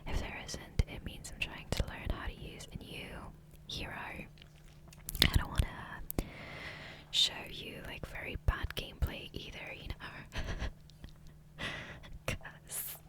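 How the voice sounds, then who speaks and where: A woman whispering softly and breathily in a casual, rambling ASMR style, gentle and unhurried, close to the microphone. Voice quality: soft breathy unvoiced whisper. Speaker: ASMR gaming content creator and host. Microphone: close USB condenser microphone.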